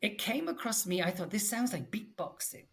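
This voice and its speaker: A man's voice: sits high, moderate pace, creaky. Chatty Welsh man in his forties. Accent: Welsh English. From Wales. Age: 40s. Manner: chatty and animated, lots of fillers and self-corrections. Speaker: English teacher and podcast guest. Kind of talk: casual conversational chat.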